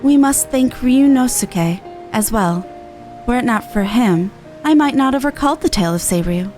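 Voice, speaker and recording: A woman voicing a courteous, formal storyteller lady who recites old legends in a measured, archaic manner for a fantasy game. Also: on the high side, lively intonation, a little mellow, faint music behind, lightly acted. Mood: gracious.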